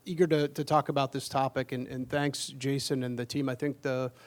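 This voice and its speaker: A man speaks at an average pitch in a flat, level voice. He introduces himself on a conference panel in a halting, self-deprecating way, restarting often and filling pauses with fillers. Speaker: corporate panelist, former Marine. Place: conference panel in front of an audience.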